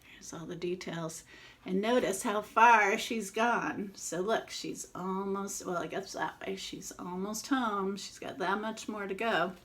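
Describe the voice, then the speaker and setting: A woman's voice: medium pitch, unhurried, fairly bright tone. A woman reading a picture book aloud expressively, with informal asides as she points out the illustrations.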